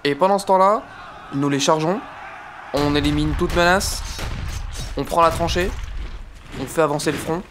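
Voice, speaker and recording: An actor playing a pompous, easily exasperated French army captain of the First World War in a comic audio drama, speaking in a theatrical, declamatory way. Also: male voice, fairly high pitch, moderate pace, pauses often, noisy background, natural voice.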